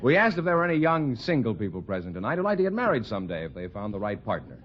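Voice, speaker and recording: A man's voice: fairly low pitch, big pitch swings, racing. An American man working as the announcer on a vintage radio quiz show. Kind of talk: 1940s-50s radio announcing. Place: radio studio before a live audience. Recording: dull old radio recording with audience. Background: studio audience.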